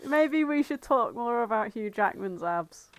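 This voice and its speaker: A woman co-hosting a podcast in casual banter, blunt, sweary and quick to argue. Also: on the high side, animated, no room sound. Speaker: podcast co-host.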